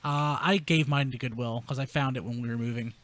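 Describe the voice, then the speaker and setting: A man's voice: mid-range voice, steady tone, fairly quick, fairly bright tone. A man hosting a podcast in a casual, chatty style. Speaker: podcast host. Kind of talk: casual group chat.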